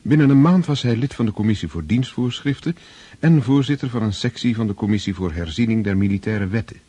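An actor narrating a historical radio drama in a measured, formal storytelling manner. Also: male voice, fairly low pitch, dark tone, breathy edge, no room sound. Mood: composed. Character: composed male storyteller of a historical novel.

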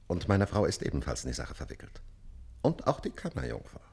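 An actor playing a jealous, lecherous, haughty count in a radio play, theatrically swinging between muttering and outbursts. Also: male voice, low-pitched, huge pitch swings, fairly quick, gravelly, darkish tone, husky, full character voice. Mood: brooding, puzzled. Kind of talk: classic German radio play acting.

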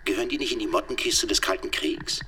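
An actor playing a hard-pressed investigator in a radio play, brisk and businesslike. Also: male voice, fairly low pitch, pushed and bright, a little hiss or hum. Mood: urgent, wry. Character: hard-pressed male investigator stuck on a cold case.

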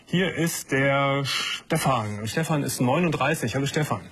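A man's voice: mid-range voice, moderate pace, a bit bright, a little hiss or hum. A man hosting a night-time call-in radio show, attentive and encouraging, often affirming with short interjections.

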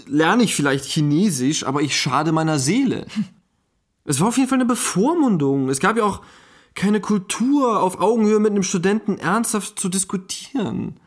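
An actor playing an easygoing, freedom-loving German husband, talking casually and hesitantly in a documentary radio play. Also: male voice, sits high, lively intonation, moderate pace. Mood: wry, casual.

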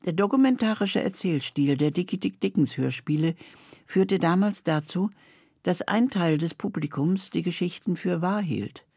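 A woman presenter with a mellow, husky voice and noticeable fry. She reads a scripted introduction calmly and composedly, in a very dry studio. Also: fairly low pitch, moderate pace, no echo. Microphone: close studio microphone.

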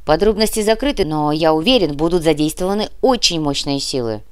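A woman voicing a brisk male intelligence analyst in an audiobook, reporting precisely and with firm certainty. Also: low-pitched, animated, smooth, no room sound. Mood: grave, certain. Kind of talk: audiobook character acting.

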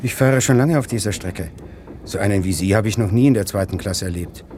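Man playing a blunt train conductor amid rumbling. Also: a little fast, close to an everyday voice. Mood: nosy, disapproving. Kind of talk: 1960s German radio play acting. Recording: radio play with loud train noise.

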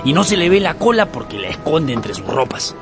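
An actor playing a superstitious ship's officer who talks in a hushed, conspiratorial way. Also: male voice, fairly high pitch, very animated, brisk, over music. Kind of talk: radio drama acting.

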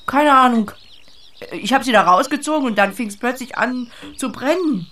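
A woman's voice: a little high, animated, normal speed, a bit bright. An actress in an audio drama playing an earthy, lonely farm woman who speaks in a plain, musing, matter-of-fact way, blunt but tender. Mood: evasive, practical. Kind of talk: audio drama acting with inner monologue.

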